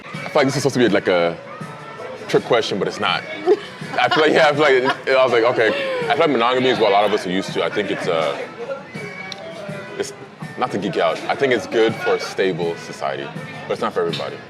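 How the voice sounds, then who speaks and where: A man's voice: fairly low pitch, quick pace, pauses often. A young man from Nigeria with a Nigerian accent, chatting casually and laughing easily on a blind date game. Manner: relaxed, laughs easily, repeats phrases. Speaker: dating game player. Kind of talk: casual conversation. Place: outdoor city restaurant. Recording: music and crowd behind. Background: music and chatter.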